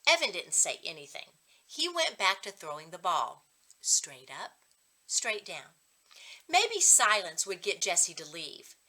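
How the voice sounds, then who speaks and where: A woman reads a storybook aloud with a bright, clear-cut edge to her voice. Her narration is expressive and her pitch moves in a lively way, as a coach would read to children. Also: unhurried.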